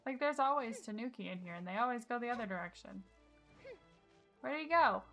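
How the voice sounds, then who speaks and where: A woman with a slightly high voice chats casually through her gameplay as a streamer. Game music plays clearly behind her. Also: lively intonation, brisk, fairly bright tone. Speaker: game livestreamer. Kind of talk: casual live gameplay commentary.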